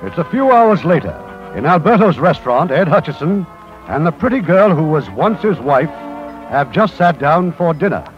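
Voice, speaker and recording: A male host narrating a 1950s radio play with lively, expressive intonation. The sound is dull and lo-fi, with soft music behind him. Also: on the high side, moderate pace. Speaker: producer and host.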